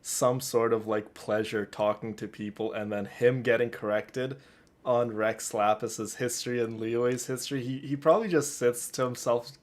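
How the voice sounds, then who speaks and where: A man livestreaming a game with casual, chatty commentary, often halting and repeating words. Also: mid-range voice, fairly even intonation.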